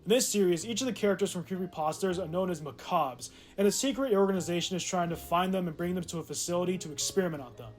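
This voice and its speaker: A man's voice: high-pitched, fast talker, hardly any pauses. A man giving casual, sarcastic and irreverent commentary as a video essay host, mixing scripted lines with offhand asides. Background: music.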